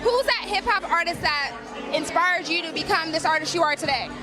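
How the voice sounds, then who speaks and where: An upbeat woman with a very high voice asks the questions at an outdoor party. Loud music and a crowd fill the background. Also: a little fast. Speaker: red-carpet interviewer. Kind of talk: event interview.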